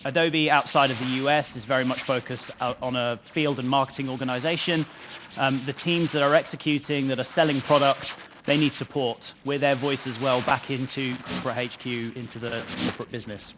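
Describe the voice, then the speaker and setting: A man's voice: mid-range voice, fairly even intonation, fairly quick, background noise. Man giving a conference talk, um-filled. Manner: conversational, many ums and restarts. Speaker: conference speaker. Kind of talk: conference presentation. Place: conference stage before an audience. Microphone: lapel mic pack.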